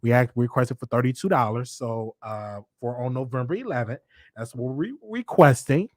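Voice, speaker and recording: A man's voice: mid-range voice, normal speed, no room sound, studio quality. A man in his thirties with a Southern US accent, casually chatting with viewers as host of a live stream, laughing often and repeating himself. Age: 30s.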